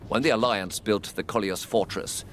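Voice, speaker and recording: An actor playing a battle-weary knight commander in a fantasy game, with a commanding, martial way of speaking. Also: male voice, fairly low pitch, fairly even intonation, moderate pace, a bit bright, a little hiss or hum, natural voice. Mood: stern, businesslike. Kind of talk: scripted fantasy game cutscene acting.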